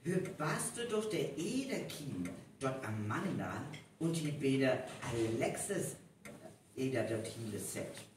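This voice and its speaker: A man's voice: medium pitch, sing-song, takes it slowly. A man acting in a North Frisian live radio play as someone questioning a detective's explanation. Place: hall with a live audience. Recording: echoey live hall recording, distant, faint noise.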